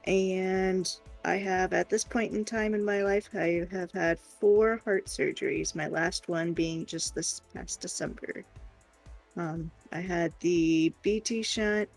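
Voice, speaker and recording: A woman with a creaky voice chatting casually as co-host of a livestream, wry and playful. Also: on the low side, fairly even intonation, takes it slowly, faint music behind. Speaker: streamer's wife and co-host.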